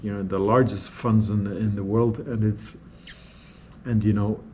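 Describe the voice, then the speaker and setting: A man's voice: lowish voice, fairly even intonation, moderate pace, darkish tone, a little hiss or hum. A man from Norway, a native Norwegian speaker, talking casually and unscripted as a vlogger, rambling and repeating words as he goes. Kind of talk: casual unscripted vlog replies to viewer comments.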